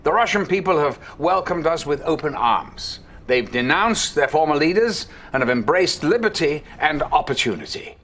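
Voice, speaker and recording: A man's voice: fairly high pitch, lively intonation, normal speed, hardly any pauses, fairly bright tone, a little hiss or hum. An actor playing a self-assured, glib male Allied politician reassuring the press in a game cutscene.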